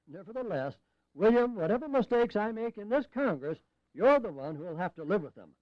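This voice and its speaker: An actor playing a frail, droll old delegate in an audio drama, grumbling with dry humour. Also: male voice, high voice, sing-song, moderate pace, dry recording, dull audio. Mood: jovial, frank. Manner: grumbling, dryly humorous.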